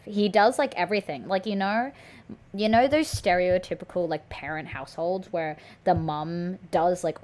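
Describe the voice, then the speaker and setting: A woman's voice: fairly low pitch, darkish tone. A young woman chatting and joking over her gameplay as a streamer. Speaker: gaming streamer. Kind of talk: casual gameplay commentary.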